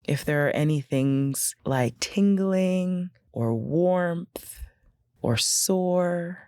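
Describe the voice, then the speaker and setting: Slow, creaky-voiced woman guiding meditation. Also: fairly low pitch, no room sound, clean studio sound. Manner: gentle, unhurried, instructional with pauses. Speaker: meditation guide. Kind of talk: guided meditation.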